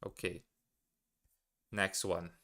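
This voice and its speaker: Slow, bright-voiced man thinking aloud. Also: deep voice, little pitch movement. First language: Portuguese. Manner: hesitant, self-correcting, muses aloud. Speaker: language learner streaming his study. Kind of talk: thinking aloud while studying.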